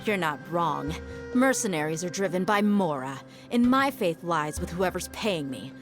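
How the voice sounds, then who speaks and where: A woman's voice: on the low side, expressive, moderate pace, lightly acted. An actress playing a shrewd, self-assured mercenary woman in a video game, with a smug, mocking manner. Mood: confident, teasing. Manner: smug, taunting, explains at leisure. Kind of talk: scripted video game voice acting. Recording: game music behind the voice.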